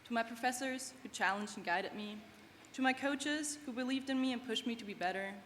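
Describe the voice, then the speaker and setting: A woman's voice: fairly high pitch, a little fast, a bit bright. A woman from Germany in her twenties, a non-native English speaker, giving an earnest, heartfelt student speech at a graduation ceremony. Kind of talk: prepared commencement speech. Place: large event hall. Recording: long hall reverb, faint background noise.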